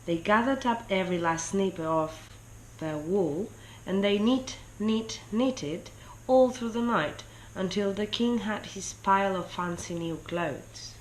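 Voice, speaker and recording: Slow-paced woman reading a children's storybook. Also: mid-range voice, a little hiss or hum, low-quality recording. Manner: deliberate, expressive storytelling. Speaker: story reader. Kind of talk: children's storybook read-aloud.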